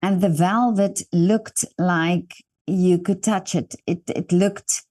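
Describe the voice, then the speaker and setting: A woman's voice: lowish voice, fairly even intonation, slow. A woman from South Africa, an Afrikaans native speaker with a South African accent, hosting an interview and talking in an encouraging, rambling way. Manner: warm, encouraging, rambling with restarts. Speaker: interview host. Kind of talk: interview conversation.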